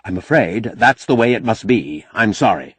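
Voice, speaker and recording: A man's voice: lowish voice, expressive, normal speed, fairly bright tone, breathy edge, no room sound, exaggerated voice. A man voicing a younger, officious protocol official, polite and hesitant, in an audiobook novel. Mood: stiffly apologetic. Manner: polite, apologetic, hesitant, fussy.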